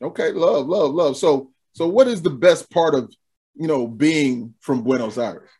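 A man's voice: on the high side, animated, a little slow, a little mellow. A man hosting a podcast interview, asking casual questions and giving brief encouraging backchannels. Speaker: podcast host.

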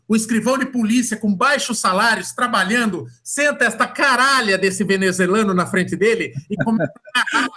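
A man hosts a running podcast with a high, bright voice. He jokes and swears freely, rambling and circling back over the same phrases. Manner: joking, profane, rambling. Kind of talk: casual chat.

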